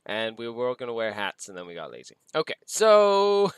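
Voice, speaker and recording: A man's voice: mid-range voice, huge pitch swings, a little fast, crowd noise. Sniffly upbeat male show host. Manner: upbeat, chatty, with fillers. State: sniffly from a cold. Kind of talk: casual chat.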